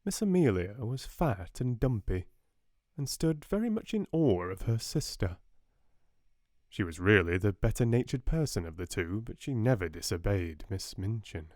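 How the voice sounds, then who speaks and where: British man, soft-spoken, lowish pitch, dry close sound, audiobook storybook narration. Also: no echo.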